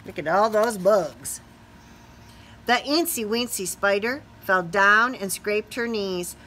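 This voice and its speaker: Middle-aged woman teacher, bright sing-song storybook reading, unhurried pace, questions to young children. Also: medium pitch, expressive, low-quality recording. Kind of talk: expressive children's storybook read-aloud. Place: small classroom or office, indoors.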